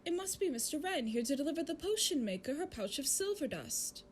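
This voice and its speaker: A prim storybook narrator played by a woman with a high, very lively voice. She tells a fairytale in a polite sing-song, fussy and controlling, insisting that things go her way. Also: fairly quick, slight background noise. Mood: prim, cheerful.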